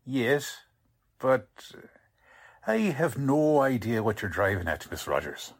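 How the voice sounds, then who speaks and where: A male actor plays a gruff police sergeant in a 1940s-style radio drama. His husky voice takes its time but swings widely in pitch. He is blunt, skeptical and talks in folksy cop slang. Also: very animated, takes it slowly, exaggerated voice. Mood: exasperated. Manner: blunt, folksy working-class cop talk.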